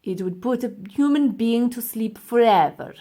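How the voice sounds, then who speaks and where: A woman voicing a cold-hearted, gloating old male professor in a children's audiobook, with pompous, emphatic, drawn-out delivery. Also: fairly high pitch, mellow, lightly acted. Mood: menacing. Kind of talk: children's audiobook character acting.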